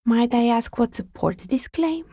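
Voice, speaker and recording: Skeptical female artisan, video game dialogue, husky, fry-edged, sardonic, measured, world-weary. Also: a little high, expressive, mellow, no echo, heavily acted. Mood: dry, amused. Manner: dry, sardonic, measured politeness. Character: wry, skeptical woman artisan dicemaker, politely world-weary.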